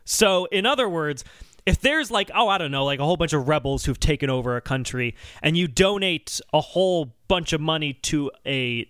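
A male podcast host talks in a high, bright voice that swings up and down as he explains. He is sardonic and emphatic, casually dropping swear words, and the sound is dry. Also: expressive, normal speed, clean tone, no echo.

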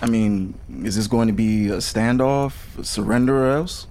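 Earnest, low-voiced musing sidekick played by a man. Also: moderate pace, darkish tone, slight background noise, natural voice. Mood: earnest, unsure. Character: earnest, musing male guitar-playing sidekick. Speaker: actor in audio fiction. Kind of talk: serialized audio drama acting. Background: plucked guitar music.

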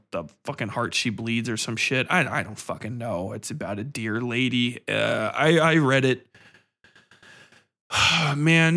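A man's voice: mid-range voice, a bit bright. A man hosting a casual podcast, ranting bluntly and profanely in an exasperated, complaining way. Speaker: podcast host.

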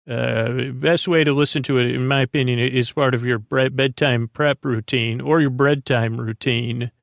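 A man with a creaky voice hosting a sleep podcast, meandering through a soothing, hesitant bedtime monologue full of tangents. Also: mid-range voice, fairly even intonation, normal speed, dry recording, studio quality. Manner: soothing, lulling delivery. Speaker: podcast host.

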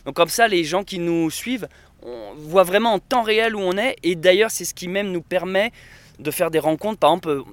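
A man's voice: sits high, moderate pace, fairly bright tone, smooth. A man chatting casually in an interview, rambling with many fillers and restarts. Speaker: interviewee, traveler and videographer.

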